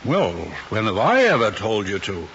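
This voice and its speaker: An actor playing an earnest, pressuring middle-aged father in a mid-century screen drama, speaking deliberately and insistently. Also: on the low side, wildly swooping pitch, a bit bright, a little hiss or hum. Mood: puzzled, insistent. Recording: muffled old film soundtrack, music behind.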